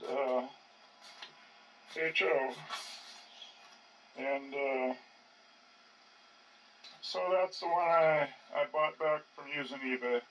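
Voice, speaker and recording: An older man giving casual, rambling commentary as he tinkers, halting and muttering to himself. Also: a little high, bright, forceful tone. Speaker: hobbyist narrating his own projects. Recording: distant mic with faint background noise.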